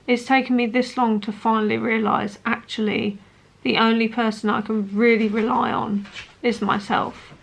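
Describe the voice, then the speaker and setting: A woman in her late twenties talking personally to camera as a vlogger, in an earnest, hesitant, halting way. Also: a little high, flat delivery, gravelly. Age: late 20s.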